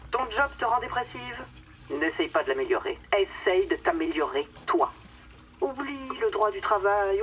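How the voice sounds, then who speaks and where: A woman's voice: medium pitch, a bit bright, distant mic, low-quality recording. An actress playing a domineering, cynical female boss in a satirical radio drama, condescending and theatrical, with mock sweetness. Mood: condescending, preachy.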